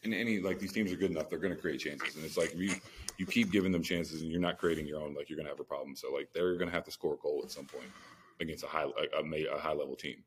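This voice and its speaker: Really deep voiced guy talking fast on a sports podcast, slangy and opinionated, bit of background noise. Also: fairly even intonation. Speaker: podcast co-host. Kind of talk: casual sports debate.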